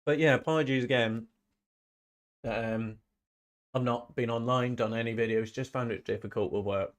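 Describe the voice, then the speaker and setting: Guy with a bit of a cold rambling on a football watch-along stream, average pitch, repeats himself. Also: fairly even intonation, moderate pace. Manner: rambling, often repeats phrases. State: slight head cold, sniffly. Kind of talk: casual live-stream chat.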